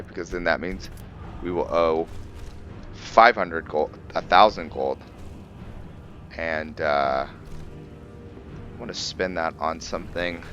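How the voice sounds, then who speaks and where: Deep-voiced man narrating gameplay, unhurried. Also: fairly bright tone, highs cut off. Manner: halting, thinking aloud. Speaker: gamer hosting a roleplay let's play. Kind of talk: casual gameplay commentary.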